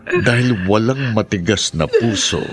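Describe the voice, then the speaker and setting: Pious adviser in radio drama, low voice, expressive n earnest, comforting. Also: male voice, unhurried. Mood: consoling. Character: devout, consoling adviser. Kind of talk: Tagalog radio drama acting.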